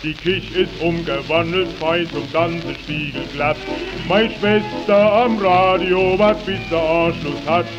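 A high-pitched man sings a comic song at an unhurried pace. His delivery is jaunty and humorous. The sound is the dull, muffled tone of a 1930s record, with loud music behind him. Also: steady tone. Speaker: singer.

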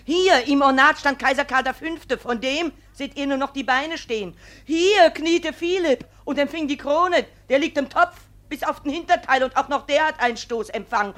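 A stubborn village widow in a classical stage-style radio play. She is high-pitched and indignant, laying out her complaint with plaintive, long-winded emphasis. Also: moderate pace, fairly bright tone. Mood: plaintive, insistent. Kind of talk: classical verse radio drama.

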